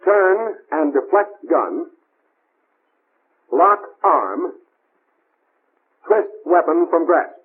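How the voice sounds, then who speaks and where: A man's voice: fairly high pitch, big pitch swings, very slow, long pauses, slightly rough. A man with an American accent narrating a 1940s military training film in a clipped, formal, authoritative manner, on an old tinny film soundtrack. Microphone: old film voice-over recording.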